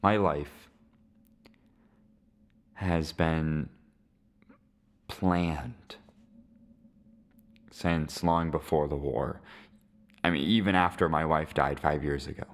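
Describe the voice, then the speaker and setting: A man playing a lonely, resigned Syrian widower in his seventies in a dramatic podcast monologue, halting and reflective. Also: a bass voice, steady tone, heavily acted. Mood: bitter, weary. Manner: slow, halting, reflective delivery with weighty pauses.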